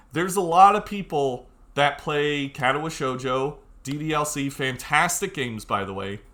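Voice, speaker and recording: A man's voice: fairly high pitch, bright, forceful tone. A young man giving an informal video tutorial, talking casually and bluntly, urging the listener on with emphasis. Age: young adult. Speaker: tutorial presenter.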